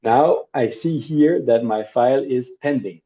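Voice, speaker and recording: A middle-aged man teaching a workshop over a video call, demonstrating a service in a relaxed, conversational way with hesitations and asides. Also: mid-range voice, measured pace. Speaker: workshop instructor. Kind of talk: live online training demo. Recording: dull lo-fi video call audio.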